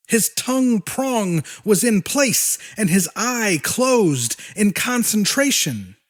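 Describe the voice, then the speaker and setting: High-voiced, expressive male audiobook narrator. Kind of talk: dramatic scripted narration.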